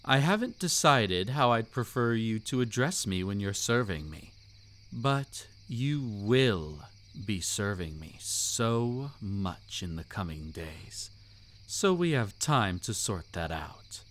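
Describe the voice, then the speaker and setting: A man's voice: lowish voice, big pitch swings, slow, fairly bright tone, full character voice. A man playing a seductive, commanding vampire count in an intimate audio roleplay, suave, teasing and theatrically formal. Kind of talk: intimate roleplay audio drama, scripted monologue.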